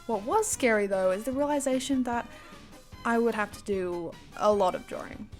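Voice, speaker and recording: A woman's voice: on the high side, animated, moderate pace, faint music behind. A young woman narrating her own art project in a casual, self-deprecating voice-over, often playing up the drama. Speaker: animatic creator.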